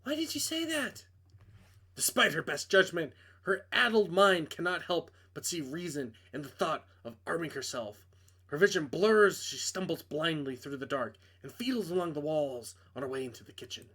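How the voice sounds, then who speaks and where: A man's voice: a little high, animated, normal speed, pushed and bright, husky. A man reading a visual novel aloud as narrator, in a dramatic scripted storytelling style.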